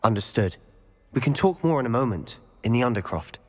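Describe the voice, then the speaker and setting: A man's voice: medium pitch, lively intonation, fairly quick, darkish tone, slightly rough. A man playing a confident, secretive teenage wizard schoolboy in a game, self-assured and confiding. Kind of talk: scripted game dialogue. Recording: music under the voice.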